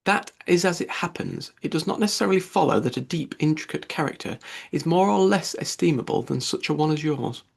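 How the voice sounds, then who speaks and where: A man's voice: a little high, lively intonation, a little fast, creaky, husky, heavily acted. A man voicing a witty, self-possessed, frank young woman in a classic novel audiobook, composed and teasing.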